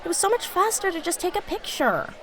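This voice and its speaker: A woman's voice: extremely high pitch, big pitch swings, brisk. A woman playing a sassy, skeptical twelve-year-old schoolgirl with a wry, dramatic delivery in an audio drama. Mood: indignant. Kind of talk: scripted children's audio drama.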